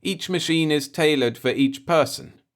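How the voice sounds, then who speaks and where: A man's voice: fairly high pitch, normal speed, voice pushed up, natural voice. A man voicing an earnest, somewhat impatient human space traveler who reasons persuasively in a science fiction audiobook. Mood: insistent, pleading. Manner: insistent, explanatory, persuasive.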